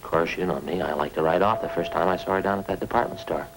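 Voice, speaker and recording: Chatty American cop sidekick, folksy, low-pitched and quick, old radio drama with faint noise. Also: male voice, steady tone. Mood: coaxing, friendly. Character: talkative, homely married police partner, fussing over his friend. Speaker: supporting actor in a police radio drama. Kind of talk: 1950s radio acting, conversational.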